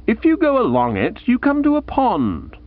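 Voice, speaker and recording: A man's voice: extremely high pitch, wildly swooping pitch, normal speed, phone-quality sound, voice pushed up, exaggerated voice. A man voicing an exasperated middle-aged aunt who scolds her nephew theatrically. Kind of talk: audiobook character voice.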